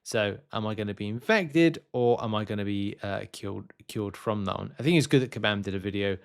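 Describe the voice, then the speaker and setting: A man's voice: on the low side, a little fast, gravelly. A man hosting a gaming news video, reading out announcements and musing on them in a casual, hesitant, rambling way. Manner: hesitant, rambling, thinking aloud.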